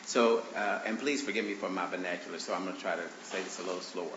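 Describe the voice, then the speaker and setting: A man arguing his own motions before a judge in a courtroom, speaking haltingly with many fillers and restarts, his voice congested from allergies. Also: low-pitched, fairly even intonation. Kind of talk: courtroom argument. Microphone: courtroom microphone on a stand. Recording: roomy, dull courtroom recording with faint hiss.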